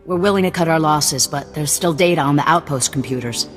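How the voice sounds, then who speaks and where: A hard-nosed female resistance leader, voiced by a woman barks curt orders in a deep, low voice. She sounds distrustful and commanding. The game cutscene rings with a long echo under a loud musical score. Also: fairly quick, over music, natural voice. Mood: stern.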